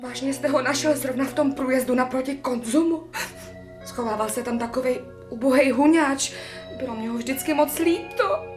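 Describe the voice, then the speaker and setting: A woman's voice: sits high, darkish tone, soft background music, close to an everyday voice. A woman playing a naive, poor young typist in a radio play, crying and deceived. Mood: wistful. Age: young adult. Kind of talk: Czech radio play acting.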